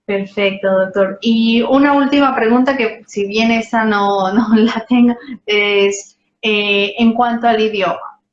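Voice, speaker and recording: A woman's voice: on the high side, steady tone, a little slow. A woman hosting an interview who asks questions and answers with short affirmations. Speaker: interview host. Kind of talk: conversational interview. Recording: muffled, echoey room with faint noise.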